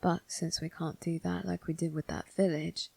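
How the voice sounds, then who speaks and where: A woman voicing a sly, predatory demon disguised as a servant in a scripted ASMR roleplay, with a breathy, whispery voice and a teasing, deliberate delivery. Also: fairly low pitch, little pitch movement, a little mellow, no echo. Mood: resentful. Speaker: voice actor.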